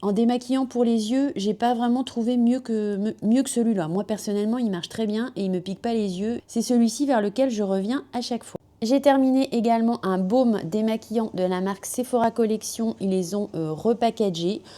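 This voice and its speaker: A woman from France, a beauty vlogger, chatting casually about finished products at home, slightly hoarse from a cold, with lots of fillers and self-corrections, on a small clip-on mic. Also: on the high side, brisk, few pauses, dark tone. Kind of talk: casual product review chat. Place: at home with a machine running nearby. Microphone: small magnetic clip-on mic.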